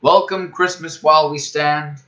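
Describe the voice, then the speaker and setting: A man reading a rhyming children's storybook aloud as a storyteller, with theatrical, emphatic and measured phrasing. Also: a little high, steady tone, pushed and bright.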